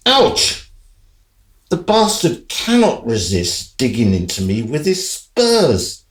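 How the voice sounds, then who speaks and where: Man voicing a bitter, low, slow-talking horse. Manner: grumbling, bitter. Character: resentful, vengeful, put-upon male horse. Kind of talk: comic radio play acting. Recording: roomy, echoing sound.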